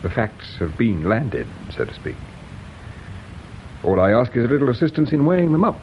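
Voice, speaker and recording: An actor with an English accent playing a polite, patient, shrewd police inspector in a British radio drama, measured and courteous. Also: male voice, medium pitch, big pitch swings, close to an everyday voice. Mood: grave, insistent. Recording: dull radio drama recording with hiss.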